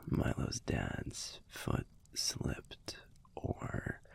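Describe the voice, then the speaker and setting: Man acting as a deep, gravelly werewolf boyfriend, hushed. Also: full character voice. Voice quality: gravelly, husky, breathy. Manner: hushed, halting, conversational delivery with pauses. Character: young male werewolf boyfriend, gruff but fondly reminiscing. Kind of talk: intimate audio roleplay storytelling, hushed.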